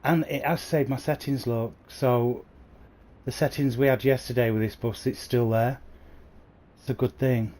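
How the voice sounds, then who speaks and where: A man's voice: mid-range voice, steady tone, moderate pace, a little mellow, slight background noise. A man chatting enthusiastically to viewers by name as he streams a bus game, speaking into a headset mic. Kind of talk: casual livestream commentary. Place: at home playing on a console. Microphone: new gaming headset.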